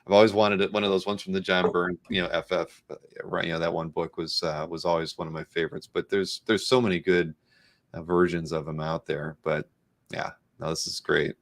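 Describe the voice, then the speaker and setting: A man's voice: low voice, flat delivery, brisk. A man hosting a live interview show in a casual, chatty style.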